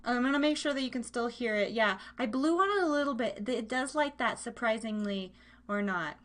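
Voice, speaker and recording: A woman with a fairly high voice hosts a live internet radio show. She talks in a casual, chatty, slangy way, addressing her listeners directly as she runs games and banters with the chat. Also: lively intonation, a little fast, fairly bright tone.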